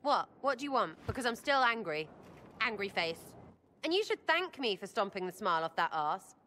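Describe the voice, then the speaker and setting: An actress playing a brash, irreverent young elf rogue in a video game, blunt and slangy. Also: female voice, medium pitch, very animated, normal speed, sharp tone, slight background noise. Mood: irritated, cheeky. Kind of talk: dramatic video game acting.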